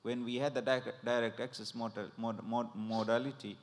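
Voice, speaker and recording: A man in the audience asking a long question to the panel, halting and full of fillers as he searches for words. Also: mid-range voice, steady tone. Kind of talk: question from the floor. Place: conference hall. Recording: long reverb in a hall.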